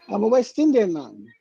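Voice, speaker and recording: A man with a Jamaican accent and a strikingly high, mellow voice. He argues insistently in a live online debate and repeats his claims with pride. His sound is dry and muffled, like lo-fi call audio. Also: gravelly, breathy edge, no room sound. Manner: insistent, proud, repeats himself.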